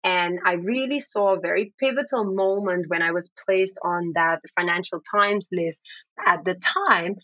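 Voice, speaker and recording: A woman who grew up in the Netherlands and is a native Dutch speaker, giving thoughtful, measured answers as an interview guest. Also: fairly low pitch, a bit bright, no echo, muffled recording.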